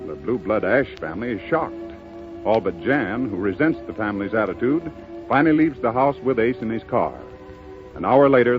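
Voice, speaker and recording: A man's voice: medium pitch, narrow, tinny audio. A man delivering scripted narration as the narrator of a 1930s radio drama. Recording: thin 1930s radio recording with music. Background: music.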